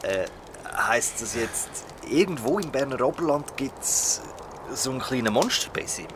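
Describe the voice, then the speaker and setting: A man's voice: mid-range voice, animated, moderate pace, background noise, natural voice. An actor with a Swiss German accent playing a mocking young man teasing a friend in an audio drama. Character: mocking young friend who teases and prods. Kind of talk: audio drama, casual banter. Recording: loud music behind the voice.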